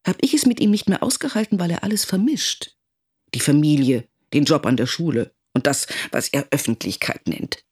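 Low, husky, brooding mother. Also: lively intonation, normal speed, a little mellow. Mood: brooding, exasperated. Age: middle-aged. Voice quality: husky, creaky. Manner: musing, self-questioning. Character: troubled middle-aged mother, estranged wife, self-doubting. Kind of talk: radio drama monologue.

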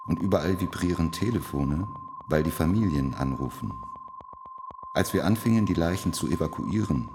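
An actor playing a shaken police investigator who testifies gravely and deliberately in a radio play. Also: male voice, low-pitched. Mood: grim, haunted. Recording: echoing hall with steady hiss.

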